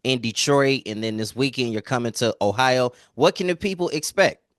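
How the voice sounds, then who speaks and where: A man's voice: mid-range voice, moderate pace, fairly bright tone, no echo, clean studio sound. An American man working as an entertainment interviewer, talking in an informal, slangy, chatty way. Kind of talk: casual interview chat.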